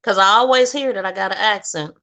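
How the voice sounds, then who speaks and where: Bright-voiced outspoken woman from Ohio. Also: medium pitch, brisk. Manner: blunt, outspoken, laughs often. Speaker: guest. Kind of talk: casual conversation.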